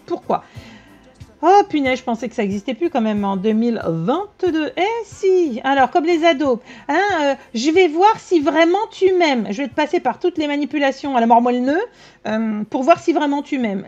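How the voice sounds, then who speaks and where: A woman medium giving a casual reading to her viewers, blunt and slangy, with quick asides. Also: sits high, a little mellow. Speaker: tarot and psychic medium. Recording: dull lo-fi sound with faint music.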